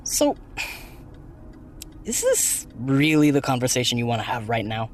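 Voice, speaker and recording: A man's voice: mid-range voice, wildly swooping pitch, a little slow, a little hiss or hum. A man playing a terse, dry activist blogger in a naturalistic audio drama. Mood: irritated, challenging.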